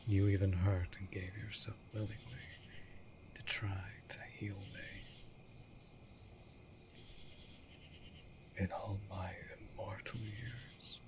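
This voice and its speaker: A man's voice: on the low side, natural voice. A man playing an immortal vampire master, a tender and brooding lonely lover, speaking formally and often breathily in a romantic fantasy audio roleplay. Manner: formal archaic wording, slow and deliberate, often dropping to breathy whisper. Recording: steady background noise of night crickets. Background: night insects and crickets.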